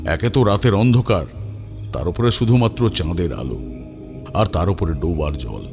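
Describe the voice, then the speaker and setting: Deep-voiced, animated male horror storyteller. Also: moderate pace, music in the background. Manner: suspenseful, dramatic. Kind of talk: dramatic first-person scripted narration.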